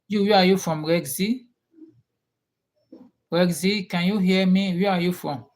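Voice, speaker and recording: A man with a Nigerian accent hosts a casual live chat with his viewers. He talks at an unhurried, drawn-out pace. He often repeats his questions while waiting for replies. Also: high-pitched, steady tone. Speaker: livestream host.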